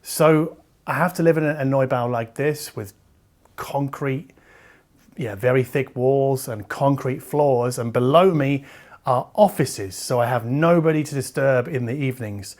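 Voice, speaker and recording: A man's voice: medium pitch, a little slow, darkish tone. Man explaining things conversationally. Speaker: host of an audio review channel. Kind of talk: casual explanatory vlog talk to camera.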